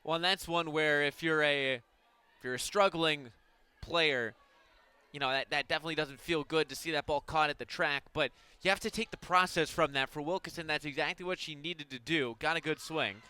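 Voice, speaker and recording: A man giving conversational color analysis on a softball radio broadcast, explaining things in an informal way. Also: on the high side, brisk, clear, resonant tone.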